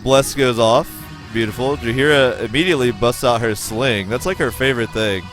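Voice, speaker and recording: Streamer: American man, mid-pitched, excitable, swears casually while calling gameplay. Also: normal speed, soft background music. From United States. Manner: excitable, profane, talks to chat.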